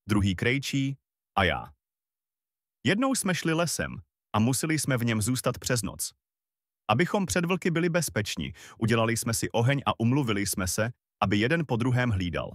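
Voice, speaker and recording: A man's voice: medium pitch, no echo, clean studio sound, lightly acted. A man voicing a clever, self-assured young journeyman in a storybook fairy tale. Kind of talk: storybook voice acting.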